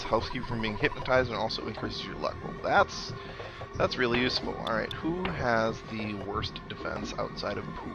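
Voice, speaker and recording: A man's voice: fairly low pitch, lo-fi sound. A man giving casual video game commentary as a let's play host, thinking aloud and reacting as he goes. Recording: loud game music behind. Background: video game music.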